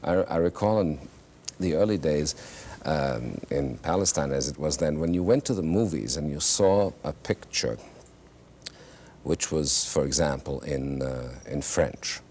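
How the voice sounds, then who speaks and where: A man, an actor and singer whose first language is German, telling stories in a reflective, halting way with wry humor in a television interview. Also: extremely low pitch, a little mellow. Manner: thoughtful, halting with many restarts, wry humor. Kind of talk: reflective conversational storytelling. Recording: faint hiss, 1970s TV studio.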